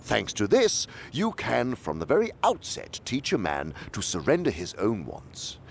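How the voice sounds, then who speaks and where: A man's voice: medium pitch, wildly swooping pitch, a little slow, vocal fry, slight background noise, full character voice. A man playing a suave, pompous senior demon mentor in a radio drama, lecturing theatrically and with relish. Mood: sly, instructive.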